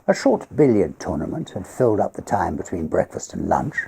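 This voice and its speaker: Male storyteller, low and very husky, theatrical measured phrasing for literary ghost tales. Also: sing-song, warm tone. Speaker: story reader.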